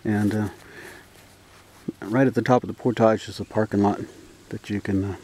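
A man's voice: on the low side, moderate pace, breathy edge, rough recording. Easygoing man narrating a canoe trip. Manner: relaxed, rambling, many fillers. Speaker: outdoor vlogger. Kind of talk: casual outdoor narration. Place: outdoors on a lake in a canoe.

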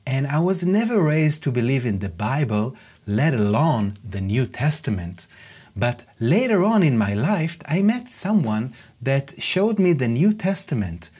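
A middle-aged man with an Israeli accent, a native Hebrew speaker, teaches scripture earnestly to camera. His voice is a bit husky, and his pitch rises and falls a lot. He gestures while he speaks, as if standing at a studio lectern. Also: big pitch swings, unhurried, a little mellow. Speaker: Bible teacher. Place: studio in front of a backdrop.

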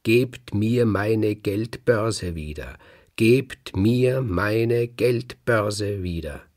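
Man telling it as a cunning, gravelly rooster. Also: lowish voice, animated, unhurried, heavily acted. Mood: demanding. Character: cunning, demanding talking rooster in a folk tale.

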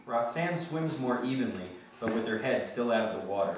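A man's voice: mid-range voice, lively intonation, a little fast. A man giving scripted narration as the reader of stage directions at a play reading. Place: wood-paneled mansion room. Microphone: distant camera microphone. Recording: very echoey room, distant mic, clear noise.

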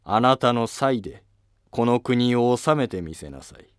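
Man playing a formal, measured elderly court minister. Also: mid-range voice, expressive, clean tone, no room sound, studio quality, natural voice. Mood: grave, resigned. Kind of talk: historical drama dialogue.

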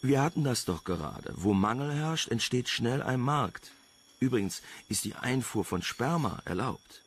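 A man's voice: medium pitch, lively intonation, unhurried, a little hiss or hum, lightly acted. An actor playing a cheeky, flirtatious male reporter in a wry, teasing manner in a radio crime drama. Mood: smug, chatty.

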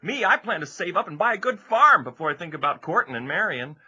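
Teasing teenage farm lad, audio drama, husky edge, very lively pitch, slightly dull sound. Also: male voice, fast, exaggerated voice. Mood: boastful, teasing. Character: practical, ambitious teenage farm boy.

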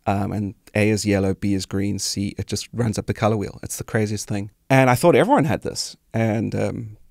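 Man, forties, South African accent, creaky voice, casual reflective guest, dry close recording. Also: lowish voice, normal speed, a little mellow, no room sound. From South Africa, grew up in Cape Town. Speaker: musician guest. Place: small indoor podcast studio. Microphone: close studio microphone on arm.

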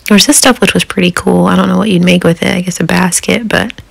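A woman's voice: lowish voice, fast talker, gravelly, slightly rough. A middle-aged woman, chatting casually in a folksy, rambling way as a craft vlogger. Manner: folksy, rambling, chuckles often. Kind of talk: casual chat.

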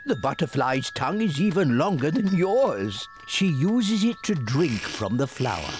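Gentle old grandpa pig. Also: male voice, on the high side, big pitch swings, measured pace, faint music behind. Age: older. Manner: gentle, playful, chuckling. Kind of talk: cartoon voice acting.

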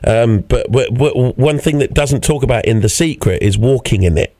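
A man's voice: mid-range voice, normal speed, mellow, no echo. Chatty radio host guy, dry studio mic, mid voice. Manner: chatty, repeats himself. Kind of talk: casual radio interview.

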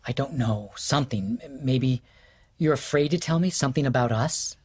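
A man's voice: medium pitch, normal speed, a little mellow, husky, close to an everyday voice. An actor playing a bewildered husband who questions his wife in an audio drama. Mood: worried, probing. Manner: incredulous, questioning, dry. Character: bewildered, hurt husband, middle-aged.

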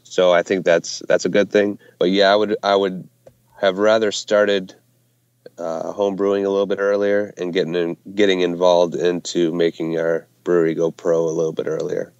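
Low-voiced man, flat and smooth, halting with fillers, dry and slightly dull sound. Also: no echo. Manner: hesitant, many fillers and restarts. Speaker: brewery co-founder, guest. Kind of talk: casual interview answers. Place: Ohio hometown brewery.

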